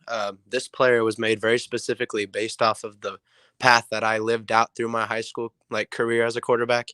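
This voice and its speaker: A hoarse young man with a raspy voice, a player answering interview questions in a rambling way with many ums. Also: fairly low pitch, a bit bright, no room sound. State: hoarse from illness. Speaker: player guest.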